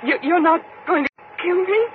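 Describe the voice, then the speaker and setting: A thin, noisy old radio recording carries a guilt-ridden young woman. Her voice is high and swings widely, overwrought and halting, pleading in full melodramatic 1950s style. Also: animated, phone-quality sound. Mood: relieved, apologetic. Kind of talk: 1950s radio drama acting.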